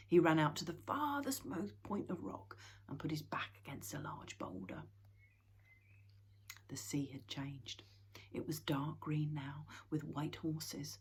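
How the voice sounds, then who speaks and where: Breathy, whispery woman reading a storybook. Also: low-pitched, animated, measured pace. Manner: hushed, often whispered narration.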